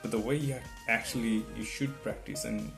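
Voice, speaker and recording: Low gravelly guy, english not his first language, rambly tips, guitar music behind. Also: fairly even intonation, normal speed. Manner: rambling, repeats "right?" and restarts often. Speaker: test-prep vlogger. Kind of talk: casual advice talk to camera.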